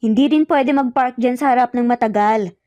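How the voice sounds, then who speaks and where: A woman's voice: a little high, moderate pace, warm tone, no echo, close to an everyday voice. A woman voicing a stubborn, hurt young wife with a curt manner in an audio romance. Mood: exasperated. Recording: muffled lo-fi audio, music bed behind.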